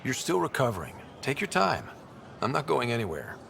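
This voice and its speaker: Young male space explorer: earnest, easygoing, lively intonation with a creaky edge, video game drama. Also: mid-range voice, fairly quick, slight background noise, heavily acted. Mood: warm, assured. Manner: earnest, conversational. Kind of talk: naturalistic video game acting.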